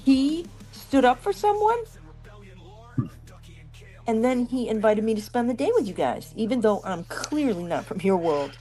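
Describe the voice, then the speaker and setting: Candid, wary teen girl female reader, script drama, higher pitch, warm tone. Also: very animated, a little slow, soft background music. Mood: indignant.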